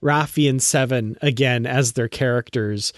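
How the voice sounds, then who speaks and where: Mid-pitched man co-hosting a podcast, dry sound. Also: fairly even intonation, moderate pace, dry recording. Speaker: podcast co-host. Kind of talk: casual podcast chat.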